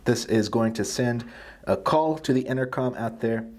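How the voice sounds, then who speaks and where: A man walks through a product demonstration in an easygoing, step-by-step style. His voice sits at a medium pitch, and he explains each feature in plain, practical terms. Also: moderate pace, darkish tone. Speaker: tutorial presenter.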